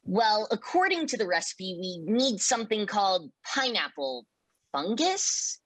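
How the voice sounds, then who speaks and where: A woman voicing an excitable, cheerful young frog boy in an animated cartoon. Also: medium pitch, unhurried, low-quality recording. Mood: casual. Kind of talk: animated cartoon table read.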